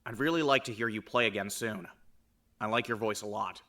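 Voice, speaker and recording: A man plays a gentle, bashful young man with a bright, clear voice, reading his letter in an earnest, soft-spoken way. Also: lowish voice, a little fast.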